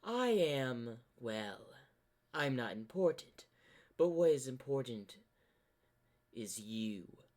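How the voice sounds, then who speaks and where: A man voicing a sinister corrupted double of a zebra hero, smug and menacing, with theatrical, taunting, drawn-out phrasing. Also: medium pitch, big pitch swings, a little mellow. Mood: smug, teasing.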